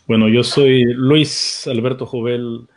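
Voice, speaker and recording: A man's voice: medium pitch, fairly even intonation, slow. A middle-aged man from El Salvador, introducing himself on an online panel in a rambling way, with many restarts. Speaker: guest panelist, church teacher.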